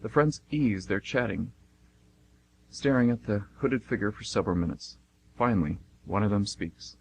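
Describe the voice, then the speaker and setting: Male announcer-narrator, slightly deep, scripted radio drama links, faint background noise. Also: normal speed, creaky, low-quality recording.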